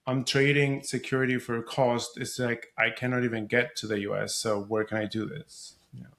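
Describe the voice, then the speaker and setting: A man's voice: medium pitch, flat delivery, a bit bright. Man. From Venezuela. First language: Spanish. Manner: earnest, candid, halting restarts. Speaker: podcast guest, surrogacy community co-founder. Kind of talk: casual conversational interview.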